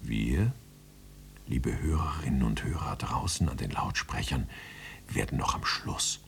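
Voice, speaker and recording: An actor playing a sly, teasing host from the beyond in a radio play, theatrical and mock-solemn, with a very deep, gravelly rasp. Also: male voice, lively intonation, measured pace, a bit bright, slight background noise, exaggerated voice. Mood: smug. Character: sly, teasing otherworldly host interviewing a dead housewife. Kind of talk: German radio play acting.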